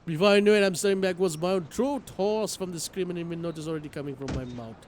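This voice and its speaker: A man streaming a game, reading its story aloud in an expressive, theatrical way. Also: sits high, quick pace, slight background noise. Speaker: streamer playing a visual novel. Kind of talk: dramatic read-aloud narration with live reactions.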